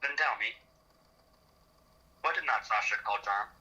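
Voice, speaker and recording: Bright, insistent friend on the phone, acted by a man. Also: on the low side, measured pace, muffled recording. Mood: pointed, goading. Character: worried, insistent researcher friend pressing for honesty. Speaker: guest actor playing a researcher. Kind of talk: dramatic skit acting.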